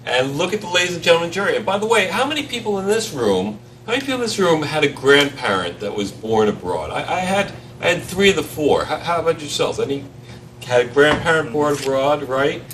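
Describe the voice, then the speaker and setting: A man with a foreign accent teaching a seminar on trial practice, animated and quizzing the audience as he goes. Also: on the high side, brisk, distant mic, a little hiss or hum, poor audio. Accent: foreign accent, not native English. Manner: animated, repeats himself, addresses the audience with questions. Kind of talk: lively seminar talk to a room.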